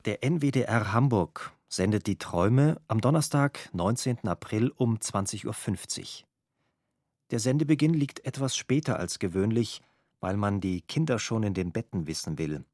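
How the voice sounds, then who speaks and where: A man's voice: on the low side, lively intonation, moderate pace, darkish tone, dry recording, studio quality. A man reading a written press report aloud from a script. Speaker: reader of a press article. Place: dry studio.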